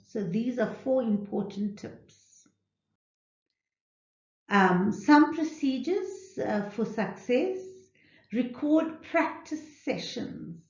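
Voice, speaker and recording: A woman giving an instructional presentation, enunciating clearly and deliberately as a literacy programme presenter. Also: mid-range voice, darkish tone. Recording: roomy, echoing, dull lo-fi audio.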